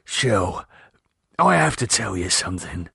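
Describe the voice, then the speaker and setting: A man voicing an anxious working-class husband, speaking in nervous, halting, pleading bursts. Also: on the low side, big pitch swings, gravelly, a bit bright, husky, no room sound, studio quality, full character voice. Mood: worried.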